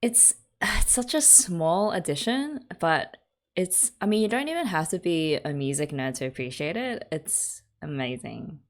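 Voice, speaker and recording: A woman's voice: lowish voice, expressive, a little slow. A young Australian woman hosting an anime reaction video, chatting casually and giggling often as she reacts. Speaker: anime reaction channel host.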